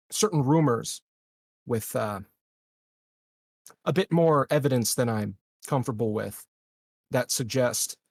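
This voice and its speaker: A man playing a calculating, formal colony leader in a tabletop game, speaking deliberately and haltingly. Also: medium pitch, gravelly, dry recording.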